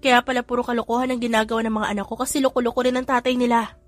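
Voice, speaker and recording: A woman's voice: a little high, level tone, very fast, soft background music, close to an everyday voice. Earnest young mother, read by a woman.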